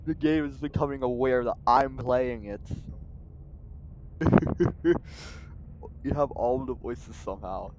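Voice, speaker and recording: A man streaming a game live, casually thinking out loud, laughing and swearing as he plays. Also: mid-range voice, takes it slowly, long pauses, background noise. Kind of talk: casual livestream commentary.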